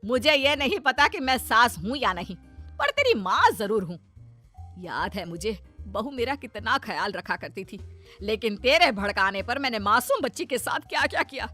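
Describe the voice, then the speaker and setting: A bossy but indulgent middle-aged mother-in-law from a family cartoon, acted by a woman. The voice is bright and swings widely in pitch as it scolds and coaxes. Music plays behind it. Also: big pitch swings, normal speed, exaggerated voice. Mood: firm, indulgent. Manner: emphatic, scolding and coaxing. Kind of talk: Hindi cartoon family-drama voice acting.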